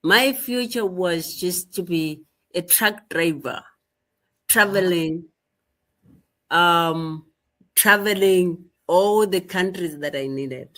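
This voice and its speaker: A middle-aged woman from rural Zimbabwe, a guest telling her story in a slow, measured way and often repeating phrases. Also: on the low side, fairly bright tone. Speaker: guest activist. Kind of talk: interview storytelling.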